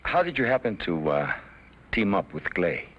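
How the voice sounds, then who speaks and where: An actor playing a calm, guarded gunman in an old western, measured and understated. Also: sits low. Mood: probing. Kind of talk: old western film dialogue. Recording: dull, noisy outdoor film audio.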